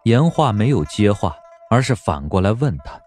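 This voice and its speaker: A male narrator with a low voice and lively, expressive intonation reads a historical story dramatically. Music plays softly behind him. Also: moderate pace. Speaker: audiobook narrator.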